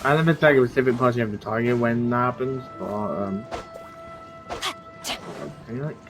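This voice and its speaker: A man's voice: medium pitch, moderate pace. A man giving casual gameplay commentary as a streamer, talking in a rambling, informal way. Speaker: video game streamer. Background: music.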